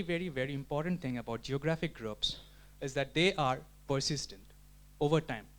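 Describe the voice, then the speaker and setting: A man's voice: mid-range voice, a bit bright. A man giving an explanatory talk at a community meetup, thinking aloud with frequent restarts and filler words. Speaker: community presenter. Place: meetup room.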